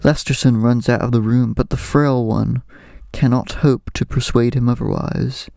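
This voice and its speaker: Gravelly man narrating horror. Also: mid-range voice, steady tone, dark tone, no room sound, clean studio sound. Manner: measured, suspenseful. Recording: music underneath.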